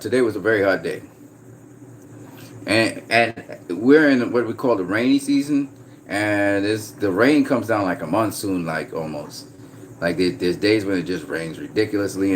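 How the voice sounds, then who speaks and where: A man from Trinidad chatting casually on a livestream, reading out viewer comments in a relaxed way. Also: sits low, a little hiss or hum. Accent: slight American-sounding Trinidadian. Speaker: vlogger.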